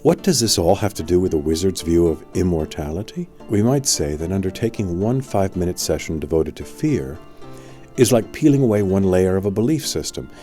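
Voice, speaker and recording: A man reading a spiritual audiobook as scripted narration, with measured, deliberate phrasing. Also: sits low, expressive, dark tone, faint music behind.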